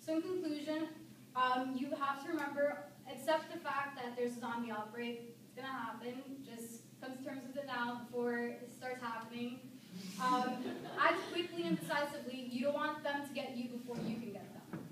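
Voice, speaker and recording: A woman's voice: sits high, fairly even intonation, normal speed. A young woman giving an informal, humorous speech in a conversational, colloquial way, addressing her listeners casually. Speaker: student speaker giving a speech. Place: echoey room, recorded from a distance. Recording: echoey room, distant mic, noisy background.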